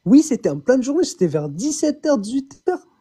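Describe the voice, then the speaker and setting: A young man from Chad calling in to tell his own story, halting, repeating words and often swearing it's true. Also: very high-pitched, big pitch swings, mellow, breathy edge. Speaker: caller. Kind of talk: phoned-in personal testimony.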